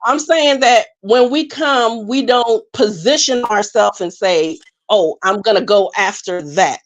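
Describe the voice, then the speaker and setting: A woman, born in Jamaica and raised in the United States, speaking as she makes a long, earnest point in a live online panel discussion. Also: medium pitch. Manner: earnest, measured, speaks at length. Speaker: panel participant.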